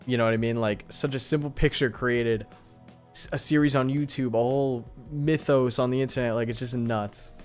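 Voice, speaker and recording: A man's voice: medium pitch, steady tone, normal speed, faint music behind. A man hosting a livestream, chatting casually and informally in slangy, appreciative asides to his viewers. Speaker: live streamer and host.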